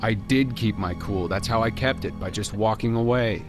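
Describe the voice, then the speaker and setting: A man's voice: fairly low pitch, normal speed, music in the background, close to an everyday voice. An actor playing a blunt, defensive male murder suspect in a video game, speaking in a curt, detached way. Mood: bitter.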